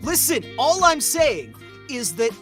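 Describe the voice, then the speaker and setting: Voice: sits high, big pitch swings, slow. A guest talking in character, rambling and mock-earnest, stammering through restarts. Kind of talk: comedic in-character chat as an alien conqueror. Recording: background music under voice.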